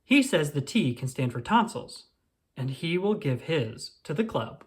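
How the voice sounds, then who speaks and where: Expressive storybook reader with swooping pitch. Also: unhurried. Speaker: children's book reader. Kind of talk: storybook read-aloud, first-person child narrator.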